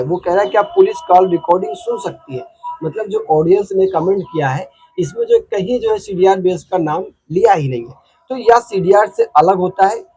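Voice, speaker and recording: A man with an Indian accent speaks in a high voice with a husky, unclear edge. He explains things informally to viewers as a host, stressing and repeating his points with plenty of filler phrases. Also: darkish tone, poor audio. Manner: repetitive, emphatic explaining with frequent filler phrases. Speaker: host of a legal explainer channel.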